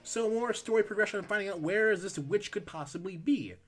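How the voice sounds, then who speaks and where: A man hosting a let's play, reading game text aloud and chatting casually while his voice is going hoarse. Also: sits high, brisk, faint music behind. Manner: reads on-screen text aloud, relaxed asides. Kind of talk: casual gameplay commentary.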